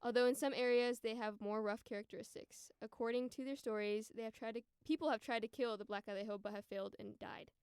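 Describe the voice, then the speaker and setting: A woman's voice: a little high, fairly even intonation, fast, hardly any pauses, darkish tone, clean tone, no room sound, clean studio sound. A young American woman around twenty chatting casually as a podcast guest, informal and peppered with "like" and "you know". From United States. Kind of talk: casual conversational chat and storytelling.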